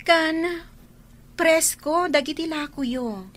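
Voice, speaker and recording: An actress playing a polite, respectful young helper. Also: female voice, high-pitched, slow pace, fairly bright tone. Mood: friendly. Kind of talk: radio drama acting.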